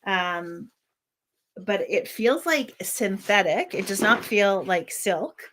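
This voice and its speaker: A woman's voice: mid-range voice, expressive, slow pace, a bit bright, a little hiss or hum. Rambling woman reviewing products. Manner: rambling, thinks aloud with many restarts. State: slightly squeaky voice. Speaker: worship flag maker and channel host. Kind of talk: casual unboxing product review.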